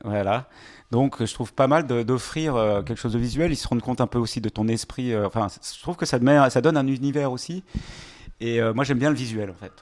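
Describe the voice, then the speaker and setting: A man appearing as a musician guest, answering in a relaxed, hesitant way in a casual interview. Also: medium pitch, a little fast. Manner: relaxed, hesitant, laughs easily.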